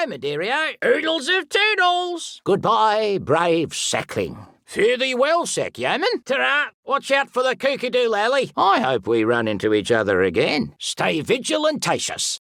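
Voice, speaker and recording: An excitable, fussy wildlife expert from a video game, with a pitch that leaps and swoops through every phrase. He is pompous and theatrical, fond of whimsical made-up words, and recorded very dry and close. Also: gravelly, no room sound, exaggerated voice. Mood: cheerful farewell. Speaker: voice actor. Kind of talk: animated video game character voice lines.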